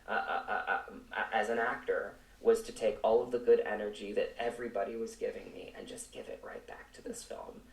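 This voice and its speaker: A man speaking reflectively as an interview guest, earnest and halting, repeating words as he searches for phrasing. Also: fairly low pitch, fairly even intonation. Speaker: actor, podcast guest. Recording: roomy, echoing, distant from mic.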